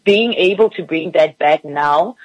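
A woman's voice: sits low, unhurried, dry recording, low-quality recording. A woman from Namibia, a PR guest, talking enthusiastically on a radio interview with fillers.

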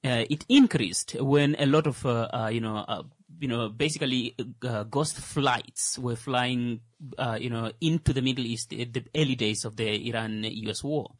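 A man in casual discussion works his way through an explanation, stopping often on fillers and restarting his sentences. His pitch is ordinary for a man. The sound is close and dry. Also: moderate pace, hardly any pauses, no room sound. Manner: hesitant, full of "uh" and restarts. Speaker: talk show co-host.

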